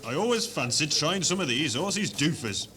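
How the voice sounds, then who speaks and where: A man's voice: fairly high pitch, very animated, normal speed, sharp tone. A man playing a naive, hapless working-class lad with a broad Northern English accent and exaggerated exclamations in a comedy radio play. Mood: cheerful, earnest. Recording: 1970s hospital radio recording, music behind.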